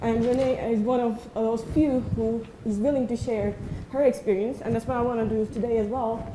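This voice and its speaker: A woman, giving an earnest, friendly conference talk with frequent pauses. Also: fairly high pitch, fairly even intonation. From Turkey. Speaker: invited speaker, assistant professor. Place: lecture room. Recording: echoey room, faint noise.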